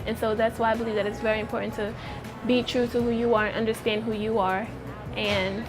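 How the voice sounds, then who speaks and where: A young woman with a fairly high voice speaks earnestly to camera, restating her ideas and starting many thoughts with "I think that." Clear music plays behind her words. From Pennsylvania. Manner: earnest, repeats "I think that", circles back to her point. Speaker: interviewee. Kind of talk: personal testimony to camera. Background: background music.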